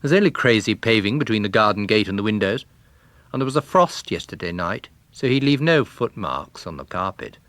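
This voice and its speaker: A man voicing a disagreeable, argumentative gentleman with a curt manner in a detective story reading. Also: on the low side, big pitch swings, a little fast, dry recording. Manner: curt, contradicting.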